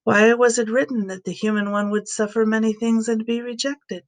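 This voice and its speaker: A woman's voice: fairly high pitch, little pitch movement, moderate pace, close to an everyday voice. A woman voicing an authoritative male teacher and healer in a scripture reading, firm and instructive. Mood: solemn teaching.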